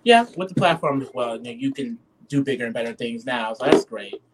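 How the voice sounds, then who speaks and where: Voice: medium pitch, expressive, a little fast, very noisy. An interviewer asking long, rambling questions in a casual, friendly way.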